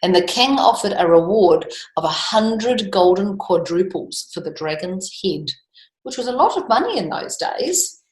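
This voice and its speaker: A woman's voice: medium pitch, sing-song. A woman reading a picture book to children, expressively, drawing out key words and adding chatty asides. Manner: emphatic, drawn-out key words, questions to young listeners. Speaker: children's storytime reader. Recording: echoey room.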